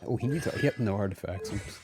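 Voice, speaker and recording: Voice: sits low, quick pace. A casual video game streamer chatting with friends in an easygoing, exclamatory way. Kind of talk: casual gaming chat with friends. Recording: game music behind. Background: video game music.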